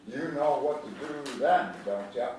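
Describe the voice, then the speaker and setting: Man playing slow folksy country old-timer, echoey hall. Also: mid-range voice, expressive, darkish tone, natural voice. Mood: playful, mock alarm. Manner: drawling, homespun, playful. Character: folksy older country man, kindly teasing storyteller to boys. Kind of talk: theatrical staged reading. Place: large echoey indoor room at a staged reading. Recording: echoey hall, distant mic, faint noise.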